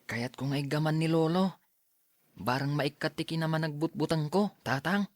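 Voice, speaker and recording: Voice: mid-range voice, normal speed, no room sound, close to an everyday voice. Actor playing a timid, earnest youth in close, dry sound. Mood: earnest, determined. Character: timid earnest youth Aljun, son and younger brother. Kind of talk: radio drama acting.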